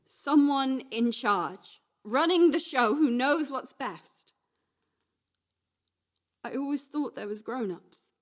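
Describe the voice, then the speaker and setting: A woman playing a restless, wistful young woman in a confessional radio play monologue, speaking naturally in halting, repetitive phrases. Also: a little high. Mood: disillusioned. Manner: halting, repetitive, swinging from hushed to agitated.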